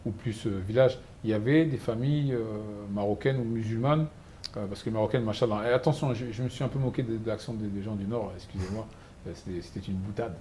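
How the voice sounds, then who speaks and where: A man hosting an interview, chatty and lively, telling anecdotes and asking questions. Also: fairly low pitch, fast talker, a little hiss or hum. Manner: chatty, animated storyteller. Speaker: host. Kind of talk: interview, conversational.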